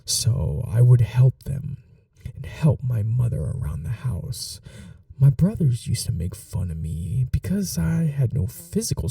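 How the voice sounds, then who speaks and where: A man playing a bitter, gravelly vampire. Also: mid-range voice, dry recording, recorded from a distance. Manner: slow, soft-spoken, confessional, drops to whispers. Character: bitter, possessive young male vampire. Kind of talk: ASMR audio roleplay.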